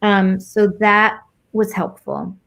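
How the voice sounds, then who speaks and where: A woman's voice: mid-range voice, flat delivery, slow, fairly bright tone. American woman thinking aloud, casual and hesitant. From United States. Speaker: interview guest. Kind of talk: casual reflective conversation.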